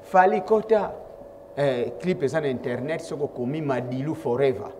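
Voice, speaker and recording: A man's voice: mid-range voice, moderate pace, warm tone. Congolese rapper guy talking himself up, mid voice, noisy background. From Kongo Central, DR Congo. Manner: proud and assertive, speaks of himself by his stage name. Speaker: rapper being interviewed. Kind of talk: interview answers mixing Lingala and French.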